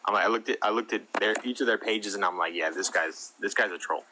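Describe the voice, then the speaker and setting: A man's voice: lowish voice, fast talker, noisy background. A man in his thirties on a debate panel who talks in a wordy, wry, dismissive way. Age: 30s. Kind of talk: casual debate chat.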